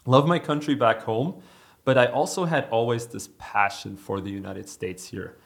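A middle-aged man from Germany with a German accent, speaking as a guest in a casual business interview, animated and quick to laugh. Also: mid-range voice, vocal fry. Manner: animated storyteller, laughs easily. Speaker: guest, company president.

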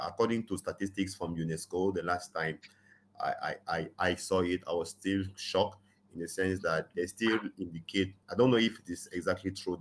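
A man's voice: low-pitched, slight background noise. A man, speaking earnestly as an interview guest, often saying "you know" and pressing hard on his key points. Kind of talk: conversational interview, advocacy.

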